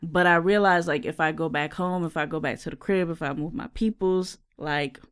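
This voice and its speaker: A podcast co-host who grew up in Jamaica, chatting casually in a lively way with slang, with no accent. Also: mid-range voice, moderate pace, clean tone. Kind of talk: casual chat.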